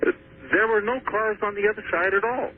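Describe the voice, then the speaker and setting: A fairly high-pitched man phones in to a talk show. He tells a personal account earnestly and haltingly. The line is tinny and narrow with slight noise. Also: fairly even intonation. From Washington state, US. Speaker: phone-in caller. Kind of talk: personal account told on air. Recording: thin, noisy phone line to radio.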